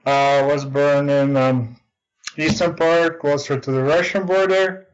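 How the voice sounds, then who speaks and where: A young man from Ukraine with a Ukrainian accent, a podcast guest chatting casually and pausing often with ums and uhs. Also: a little high, steady tone. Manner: hesitant, many ums and uhs. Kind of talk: casual interview chat. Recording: dull lo-fi audio.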